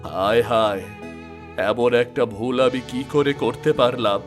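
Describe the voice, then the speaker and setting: Male actor as an earnest cartoon farmer husband with a mid-pitched, plain voice. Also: measured pace, faint music behind, close to an everyday voice.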